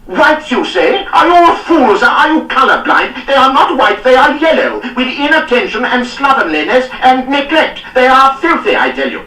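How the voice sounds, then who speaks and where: A man's voice: very high-pitched, big pitch swings, normal speed, hardly any pauses, echoey room, far from the mic, voice pushed up, exaggerated voice, poor audio. A male narrator voicing a furious, blustering aristocratic cavalry lord who bawls, sneers and bursts into braying laughs. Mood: raging. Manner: shouting, sneering, hectoring. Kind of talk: audiobook character voice.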